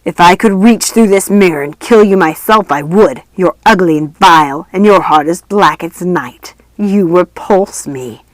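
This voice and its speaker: A woman voicing a cruel, hateful ghost of a father in a mirror, spitting out wicked, venomous words slowly in a storybook reading. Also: fairly low pitch, dry recording, lightly acted, low-quality recording. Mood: hateful, spiteful. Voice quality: horrible, wicked voice. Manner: spitting, slow, venomous delivery.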